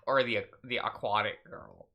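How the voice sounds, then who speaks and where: A man's voice: fairly low pitch, fairly even intonation, a little slow, pushed and bright. A young man hosting a let's play, chatting casually, laughing often, and reading game text aloud with frequent stumbles.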